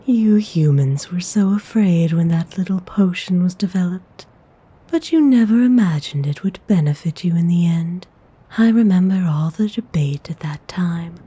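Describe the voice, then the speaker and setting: A woman voicing a centuries-old, courteous and possessive female vampire noble in an intimate, formal ASMR roleplay, whispering in a husky, breathy voice. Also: fairly low pitch, mellow. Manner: slow, measured, formal, intimate.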